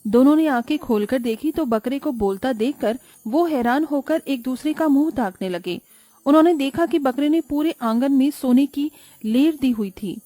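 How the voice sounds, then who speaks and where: A woman narrating a scripted cartoon story in a calm storytelling manner. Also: high-pitched, moderate pace, no room sound.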